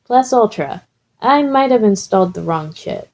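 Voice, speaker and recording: A woman's voice: medium pitch, lively intonation, moderate pace, darkish tone, natural voice. A woman voicing a nervous, earnest, studious teenage boy in a story reading, fretful and precise.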